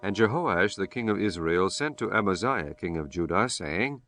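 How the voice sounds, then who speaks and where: A man reading scripture as an audiobook narrator in a solemn, measured, formal manner. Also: sits low, animated, fairly bright tone, faint music behind.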